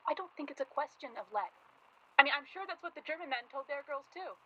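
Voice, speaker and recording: A woman playing a worried yet tender, teasing 1940s journalist in a naturalistic radio play, with a husky voice. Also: sits high, lively intonation, fast talker. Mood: anxious. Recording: dull lo-fi recording.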